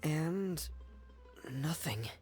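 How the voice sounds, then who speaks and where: A man playing a shy, gentle, reserved teenage boy in an anime game, soft-spoken and halting. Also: fairly high pitch, lively intonation, soft background music. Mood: downcast.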